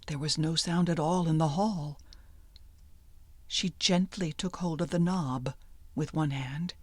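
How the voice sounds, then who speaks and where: A woman reading a scary folktale as a storyteller, with a breathy, husky voice and a suspenseful, deliberate manner that often drops toward a hush. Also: low voice, no echo. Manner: suspenseful, deliberate, often dropping to a hushed whisper. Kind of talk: dramatic scripted narration of a scary folktale.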